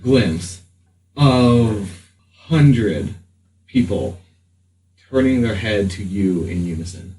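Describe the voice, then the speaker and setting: A man running a tabletop game as game master, narrating off the cuff with pauses mid-sentence. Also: lowish voice, animated, warm tone. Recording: echoey, noisy room, distant mic.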